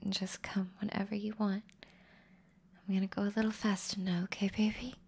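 A woman voicing an affectionate, ancient horned and tailed demon woman in an intimate audio roleplay, murmuring softly to the listener in a breathy whisper. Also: mid-range voice, little pitch movement, slight background noise, lightly acted. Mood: reassuring. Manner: soft, slow, intimate murmuring.

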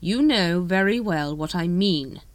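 A woman voicing a slow, dry, irritable male ruler. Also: fairly low pitch, big pitch swings, no room sound. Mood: sour, insistent. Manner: drawn-out, deliberate delivery. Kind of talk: audiobook character voice.

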